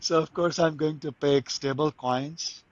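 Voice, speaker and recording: A man's voice: on the high side, steady tone, measured pace, lo-fi sound. Pakistani-raised man, eager and stumbling. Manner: frequent restarts and stumbles, enthusiastic. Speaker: guest, crypto marketer. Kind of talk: casual interview answers.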